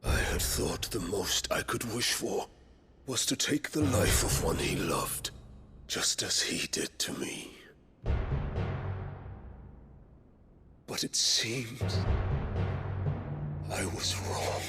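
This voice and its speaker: Slow, theatrical villain lord. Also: male voice, lowish voice, lively intonation. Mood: quiet menace. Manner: theatrical, swinging from hushed menace to roaring. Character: vengeful, grandiose villain lord, sadistic and mocking. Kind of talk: dramatic video game acting. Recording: loud music under the voice.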